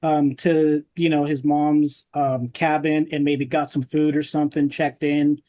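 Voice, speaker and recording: Higher-pitched man hosting casual podcast. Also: flat delivery, a little slow, mellow. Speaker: podcast host.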